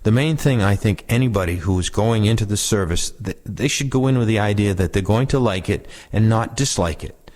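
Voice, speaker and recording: Low-voiced man reading memoirs. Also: brisk, a little mellow. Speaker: reader of veterans' oral histories. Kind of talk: reading first-person war memoirs aloud.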